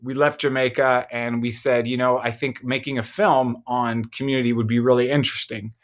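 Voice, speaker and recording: A man born in Egypt, a filmmaker, talks through his story as a podcast guest. His speech is thoughtful and hesitant, full of small pauses and restarts. He sits in the middle pitch range for a man. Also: level tone. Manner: thoughtful, many fillers and restarts. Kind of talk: casual interview conversation.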